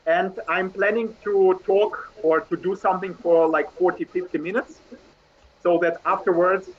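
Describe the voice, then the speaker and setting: Polite man on a video call. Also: a little high. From Russia. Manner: polite, halting, with fillers. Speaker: workshop presenter, math teacher. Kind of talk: online workshop talk. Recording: dull video call audio with faint noise.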